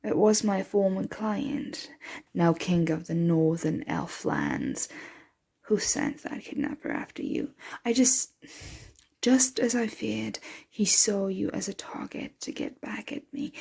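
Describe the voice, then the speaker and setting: A woman voicing a possessive, protective, motherly vampire in an intimate ASMR roleplay, breathy and often dropping into a whisper. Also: mid-range voice, darkish tone, heavily acted. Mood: gentle, confiding. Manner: slow, intimate, soothing address to a dear one.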